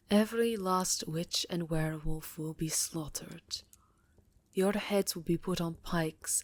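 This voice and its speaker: A woman voicing a possessive vampire countess in an ASMR roleplay, speaking in a deliberate, breathy half-whisper, seductive and menacing. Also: on the low side, no echo.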